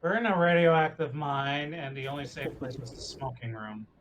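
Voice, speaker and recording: A man's voice: a little high, normal speed. A man chatting casually as a player in a tabletop roleplaying game. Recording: noisy background with clicks.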